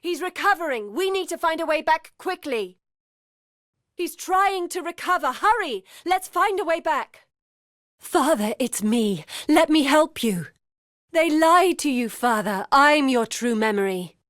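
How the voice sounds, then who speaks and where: A woman playing a determined, scholarly adventurer and devoted daughter in a video game, speaking earnestly with deliberate, formal diction. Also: high-pitched, expressive, fairly bright tone, dry recording, clean studio sound. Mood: desperate, urgent. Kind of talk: scripted video game character dialogue.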